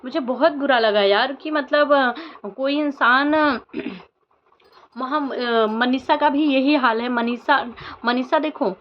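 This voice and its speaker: A woman's voice: high voice, takes it slowly. A young woman vlogger giving earnest, emotional commentary to camera, firing off rhetorical questions with many fillers. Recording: dull lo-fi sound with faint background noise.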